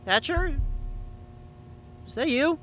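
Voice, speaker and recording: A man playing a frightened, bewildered boy at camp in an audio horror drama, halting and stammering in alarm. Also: very high voice, expressive, fairly bright tone, a little hiss or hum, exaggerated voice, poor audio. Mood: anxious, searching.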